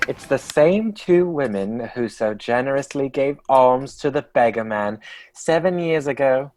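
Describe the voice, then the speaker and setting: A man playing an author who narrates his own story in a measured storyteller's delivery. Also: mid-range voice, expressive, background noise, lightly acted. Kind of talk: scripted narration in a radio play.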